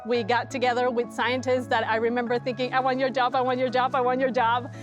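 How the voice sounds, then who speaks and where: A woman's voice: fairly high pitch, level tone, fairly quick, soft background music. A woman, a Spanish speaker, telling personal stories in an interview and acting out remembered dialogue. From Colombia. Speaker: NASA mission manager.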